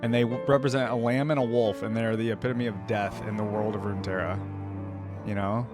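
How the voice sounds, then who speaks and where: A man giving casual reaction commentary as a video host, thinking out loud in an informal way. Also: fairly low pitch, fairly even intonation, fairly quick, music in the background.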